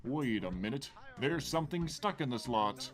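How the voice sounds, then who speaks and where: A man's voice: medium pitch, animated, moderate pace, music in the background, close to an everyday voice. A man voicing the sardonic narrator of an adventure video game, reading scripted narration with dry, mocking asides. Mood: wry.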